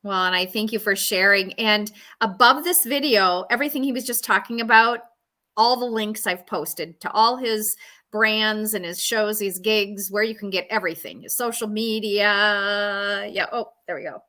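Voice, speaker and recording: A woman's voice: mid-range voice, moderate pace, sharp tone. A woman in her fifties hosting a live interview show, gracious and effusive with her guest. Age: 50s. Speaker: show host.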